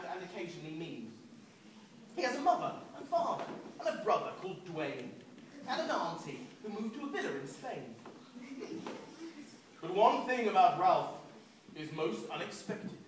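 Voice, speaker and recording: A man, a children's author, reading a rhyming story aloud in an expressive, sing-song rhythm at a book event. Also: high voice. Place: large echoing room at a book event. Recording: echoey hall, distant mic, noisy.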